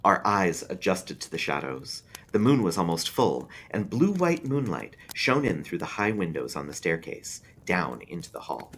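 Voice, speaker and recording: A man reading an eerie story aloud as a librarian, in an expressive narrating style. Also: deep voice, normal speed, hardly any pauses.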